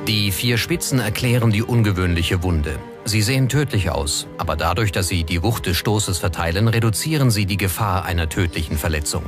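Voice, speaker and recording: A man's voice: low voice, a little fast, hardly any pauses. A man reading scripted documentary narration. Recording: music bed under the voice. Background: background music.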